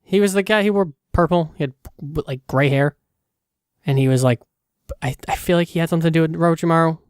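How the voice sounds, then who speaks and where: A man's voice: on the high side, fast talker, clear, resonant tone, no room sound. Informal man, dry close sound. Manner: informal, slangy. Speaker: podcast host. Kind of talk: casual chat, reacting to a story.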